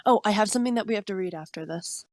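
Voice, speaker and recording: A woman's voice: mid-range voice, rapid-fire, a little mellow, no echo, studio quality. Giggly teen girl on voice chat. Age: teens. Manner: giggly, teasing. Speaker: co-host friend on voice chat. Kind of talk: casual chat. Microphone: voice chat mic.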